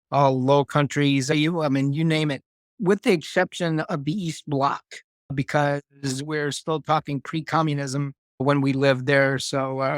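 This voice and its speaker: A man's voice: medium pitch, clean tone, dry recording. Man, 50s, from Germany, interview guest, reflective, dry sound. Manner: relaxed, reflective, with restarts and fillers. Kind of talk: casual conversational interview.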